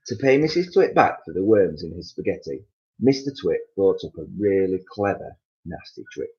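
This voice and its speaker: A man reading a children's story aloud as an expressive narrator, on a slightly muffled recording. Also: low-pitched, normal speed.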